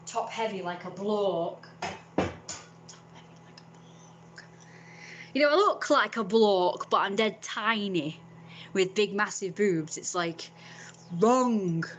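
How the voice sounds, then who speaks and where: A young woman talks straight to camera in a loose, rambling way, blunt and unfiltered, swearing freely. Her voice sits in an ordinary middle pitch. A faint hum runs under the recording. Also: slow, long pauses, fairly bright tone. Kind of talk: casual vlog monologue.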